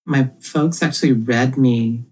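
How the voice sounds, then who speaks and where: A woman from Ethiopia, a guest illustrator in a casual interview, wry and quick to laugh. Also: a bass voice, dark tone, breathy edge.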